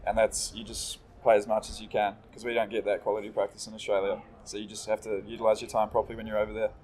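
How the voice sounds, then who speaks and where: Young kiwi guy with a kiwi accent, chill and quick, low flat voice. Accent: New Zealand. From New Zealand. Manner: laid-back, quick, agreeable. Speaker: esports player. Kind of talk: casual interview.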